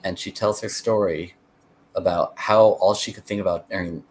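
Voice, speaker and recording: Male, American, low register. Interview guest who explains and meanders as he tells his story. Slight background noise. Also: fairly even intonation, normal speed. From United States (Connecticut). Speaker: interview guest, peace activist. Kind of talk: conversational storytelling.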